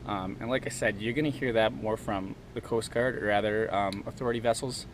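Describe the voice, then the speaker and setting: A man's voice: lowish voice, fairly even intonation, brisk, slight background noise. A man giving an informal instructional demonstration, often checking in with "okay?" as he goes. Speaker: presenter, kayak angler.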